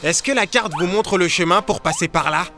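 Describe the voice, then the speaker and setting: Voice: mid-range voice, brisk, sharp tone, faint music behind, natural voice. An actor voicing a young male talking dog, a nervous but jokey sidekick in a children's cartoon. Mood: relieved, excited.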